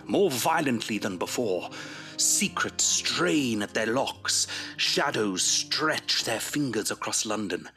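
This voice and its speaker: A man's voice: lowish voice, pushed and bright, full character voice. A man giving solemn, atmospheric scripted narration for an audio drama. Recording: music clearly under the voice.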